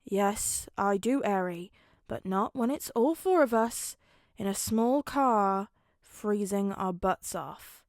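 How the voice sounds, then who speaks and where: Woman voicing a grumpy, deadpan, exasperated dad. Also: medium pitch, slow, no room sound, clean studio sound.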